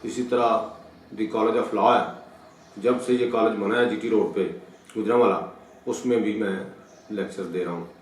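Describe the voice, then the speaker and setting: A middle-aged man gives a formal, deliberate law lecture with halting, repetitive phrasing. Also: on the low side, fairly even intonation, a little hiss or hum. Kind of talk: lecture for an online channel. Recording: very echoey room, distant microphone.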